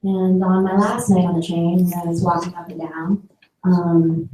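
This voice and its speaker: A woman photographer telling stories from her travels in a relaxed way, with frequent fillers. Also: on the low side, normal speed, noisy background. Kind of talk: personal talk about her work. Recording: echoey hall, heard from a distance.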